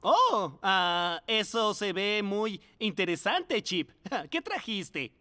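A lively kind teacher. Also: female voice, medium pitch, slow, no room sound, full character voice. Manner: gentle, encouraging, sing-song. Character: kind, patient adult teacher. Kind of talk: cartoon dubbing. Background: music.

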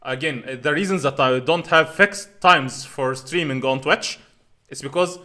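A man's voice: fairly high pitch, a little slow, sharp tone. A man in his thirties, a native Arabic speaker, chatting casually while answering viewer comments, rambling with many fillers and restarts, on a headset mic in a small room at home. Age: 30s. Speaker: channel host answering comments.

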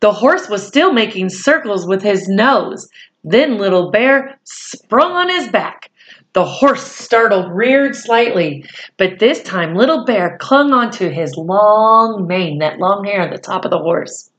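A woman in her forties reading a storybook aloud to children, deliberate and pausing phrase by phrase, with explanatory asides. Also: medium pitch, lively intonation, a bit bright. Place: small room at home, indoors.

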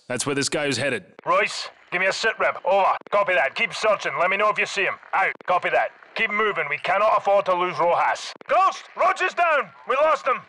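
An actor playing a tough, urgent special forces squad leader in a video game, giving clipped, commanding military orders. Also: male voice, a little high, sharp tone. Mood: controlled, tense. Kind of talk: video game battle dialogue.

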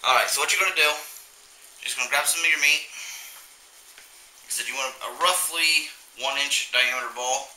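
A man's voice: mid-range voice, normal speed, pauses often. A man in his thirties hosting a home cooking video, talking casually and rambling through the steps at his kitchen table. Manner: relaxed, rambling, with fillers. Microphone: camera mic. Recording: echoey kitchen on a camera mic.

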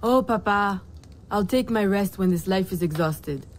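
A woman's voice: mid-range voice, lively intonation, measured pace, close to an everyday voice. An actress playing a poised, determined young society lady in a video game, formal and politely composed. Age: young adult. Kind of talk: video game cutscene acting. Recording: music behind the voice.

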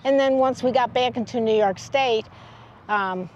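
Higher-voiced older American woman, chatting. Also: measured pace, darkish tone, muffled recording, slight background noise. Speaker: travel vlog host. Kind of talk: casual chat recounting a trip. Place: outdoors in open air beside a car.

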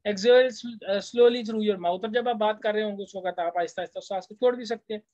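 A man's voice: high-pitched, a little fast, a little mellow, no echo. A man with a Pakistani accent giving an explanatory lecture in a conversational manner, reading out points and explaining them as a teacher does. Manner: conversational, switches between languages to translate. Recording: dull, lo-fi voice-over recording.

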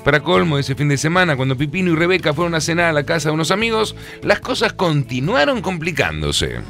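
A man's voice: on the high side, very animated, fast, a bit bright, soft background music. A man delivering mock-dramatic scripted narration for a radio play.

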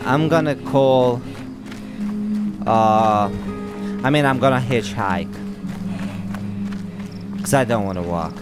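A man's voice: medium pitch, slow, pauses often. A man from Russia with a Russian accent, hosting a game walkthrough with playful, chatty asides and reading dialogue choices aloud. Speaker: gaming video host. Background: game music.

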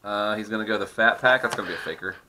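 A man's voice: fairly low pitch, very fast, pushed and bright, a little hiss or hum. A middle-aged man chatting casually and teasing while opening trading card packs at his desk at home. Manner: chatty, teasing, laughs often. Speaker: host of a card-opening channel. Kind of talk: casual card-breaking commentary.

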